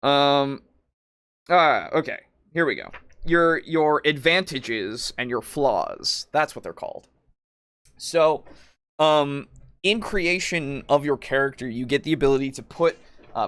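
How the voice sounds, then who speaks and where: A man's voice: medium pitch, fairly bright tone, no echo. Rambling man in a casual, close dry chat. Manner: rambling, hesitant, searching for words; casual swearing. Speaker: podcast co-host. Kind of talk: casual tabletop roleplaying discussion.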